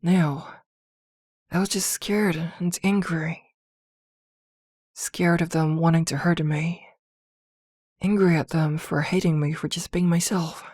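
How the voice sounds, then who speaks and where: A woman playing a possessive, protective witch girlfriend in an intimate ASMR roleplay, with a soft, coaxing murmur. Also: on the low side, steady tone, takes it slowly, pauses often, dry recording, clean studio sound. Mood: hushed, bitter.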